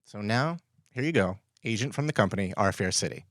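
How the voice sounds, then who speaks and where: A man hosting a casual podcast interview, informal and somewhat halting in how he talks. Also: on the low side, lively intonation, fairly bright tone, dry recording, studio quality. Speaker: podcast host.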